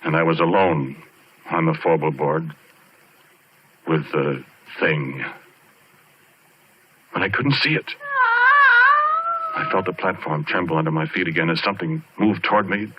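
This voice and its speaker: An actor playing a weary, haunted oil driller who tells his horror story haltingly and plainly in a 1940s radio drama. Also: rumbling low. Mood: tense, hushed. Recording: muffled, noisy 1940s radio broadcast recording.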